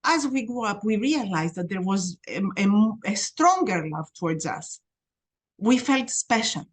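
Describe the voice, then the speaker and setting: A woman, a podcast guest telling her life story in a reflective way, with pauses and restarts. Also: medium pitch, big pitch swings. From Greece. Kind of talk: personal storytelling.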